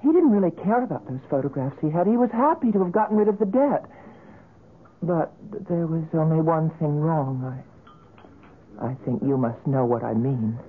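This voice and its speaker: An actress playing an earnest, caring friend with a husky voice in a 1970s radio drama. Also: female voice, low-pitched, lively intonation, normal speed. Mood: concerned. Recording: tinny old radio recording.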